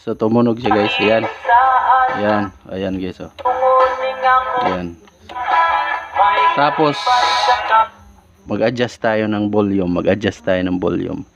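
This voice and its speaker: A man's voice: on the low side, animated, takes it slowly, vocal fry, long pauses. A man giving an informal hands-on tutorial, repeating himself and often addressing his viewers. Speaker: DIY electronics tutorial host. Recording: dull lo-fi recording with loud music. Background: music.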